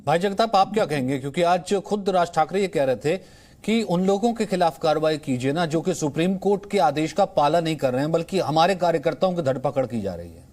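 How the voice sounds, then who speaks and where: Male TV anchor, slightly higher voice, pushy moderator. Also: animated, brisk, darkish tone. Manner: pressing, interjecting questions. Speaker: news anchor moderating a debate. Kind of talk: televised debate moderation.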